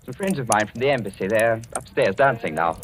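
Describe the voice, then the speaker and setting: An actor playing an impulsive young English lord in love, speaking with polite, eager insistence in an old-time radio drama. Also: male voice, lowish voice, lively intonation, a little fast, slight background noise, lightly acted. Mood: nervous politeness. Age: young adult. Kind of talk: 1940s radio acting.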